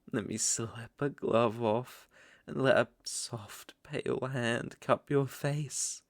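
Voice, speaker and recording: A man's voice: mid-range voice, a little mellow, no room sound, studio quality. A British man playing a seductive, domineering vampire lord in an intimate ASMR roleplay, with teasing, ornate, condescending speech.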